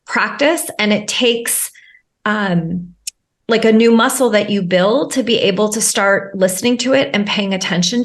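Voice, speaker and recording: A woman who works as a life coach, a guest on a podcast, explaining at length with lots of fillers, restarts and repeated words. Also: on the high side. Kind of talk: podcast interview.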